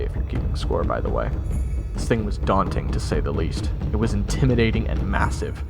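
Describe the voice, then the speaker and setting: Fantasy story narrator: male actor as a wry young adventurer, gravelly, with clear background music. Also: on the low side. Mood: self-deprecating. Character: wry, self-deprecating young male soldier recounting his adventure.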